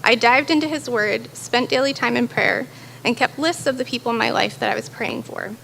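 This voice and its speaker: Young woman, staff testimony speaker, earnest and emphatic, higher voice. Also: moderate pace, creaky, fairly bright tone, slight background noise, rough recording. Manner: earnest, builds to emphatic declarations. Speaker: college staff member giving testimony. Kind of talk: prepared personal testimony talk.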